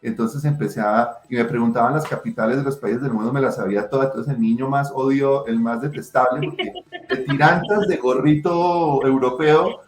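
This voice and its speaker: A middle-aged writer answering interview questions passionately, with many restarts. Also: male voice, medium pitch, normal speed. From Colombia. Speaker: writer and guest. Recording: roomy echo.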